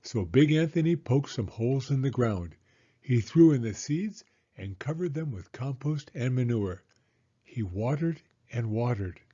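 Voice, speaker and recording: A man reads a children's story aloud in a mellow, middle-pitched voice. His narration is slow and measured, and the recording sounds a little dull. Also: lively intonation, slightly rough.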